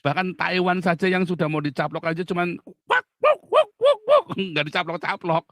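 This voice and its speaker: A man's voice: sits high, very animated, moderate pace, fairly bright tone, no room sound, studio quality. A middle-aged man from Indonesia, a pastor hosting an outspoken talk, speaking bluntly and emphatically and laughing often. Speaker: pastor and host.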